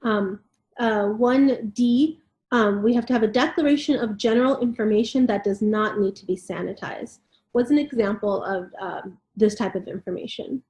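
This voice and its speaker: A woman's voice: mid-range voice, normal speed, a little mellow, lo-fi sound. A woman co-hosting, reading a formal standard aloud and discussing it informally. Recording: echoey room.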